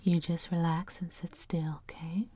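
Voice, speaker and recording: A woman in her thirties doing ASMR in a slow, soothing whisper, close to the mic. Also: lowish voice, steady tone, mellow, husky, no room sound. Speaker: ASMR video creator. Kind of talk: ASMR whispering, gentle makeup roleplay.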